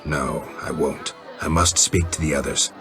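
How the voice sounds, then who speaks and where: A stoic fantasy game monster hunter with a rock-bottom, gravelly voice. He is terse and deadpan, never showy. Prominent music plays behind him. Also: extremely low pitch, a little slow. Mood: terse, resolute. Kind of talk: video game voice acting.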